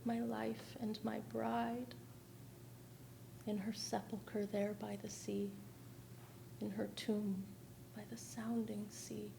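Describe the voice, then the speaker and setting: Slow, solemn woman reciting poetry. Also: medium pitch, little pitch movement, creaky, noisy background. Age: young adult. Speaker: stage performer reciting poetry. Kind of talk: solemn dramatic poetry reading. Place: theatre stage at a lectern.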